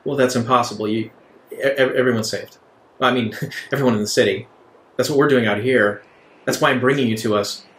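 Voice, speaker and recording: A man plays an urgent male guide in a tabletop sci-fi story. He is evasive and explains in halting bursts, at a middling pitch, with room echo around him. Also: fairly even intonation, a bit bright, natural voice. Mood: evasive, explaining. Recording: echoey room.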